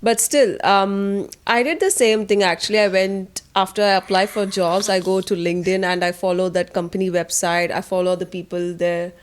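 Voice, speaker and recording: A woman's voice: medium pitch, steady tone, normal speed, few pauses, clean tone. A young woman co-hosting a casual podcast in an informal, candid and teasing way. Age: young adult. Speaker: podcast co-host.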